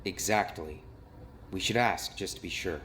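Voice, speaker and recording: An actor playing an uneasy, blunt young man investigating a murder in an animated mystery game, hesitant and easily agitated. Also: low voice, normal speed, slight background noise. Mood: focused, blunt.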